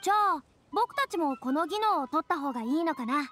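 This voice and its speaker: A woman's voice: high-pitched, lively intonation, a little fast, fairly bright tone. A woman voicing a youthful, curious and earnest young lady in an anime game, with slightly theatrical, boyish phrasing. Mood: curious, thoughtful. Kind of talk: Japanese anime game voice acting. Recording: studio voice with music underneath.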